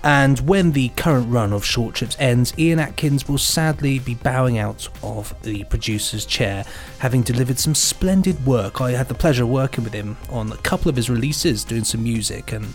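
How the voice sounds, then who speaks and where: A man's voice: medium pitch, moderate pace, few pauses, faint music behind. A man co-hosting a podcast in casual chat. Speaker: podcast co-host.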